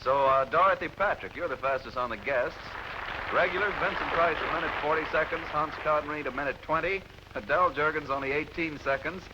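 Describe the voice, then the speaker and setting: A man hosting a live 1950s television quiz show, brisk and jovial as he explains the rules and reads out scores. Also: on the high side. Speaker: game show host. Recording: noisy lo-fi 1950s television audio.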